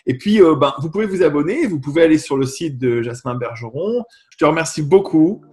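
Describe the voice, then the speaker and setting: A man's voice: fairly high pitch, expressive, a little fast, a crowd in the background. A man hosting an interview, asking questions with some hesitation and many fillers. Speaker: interview host.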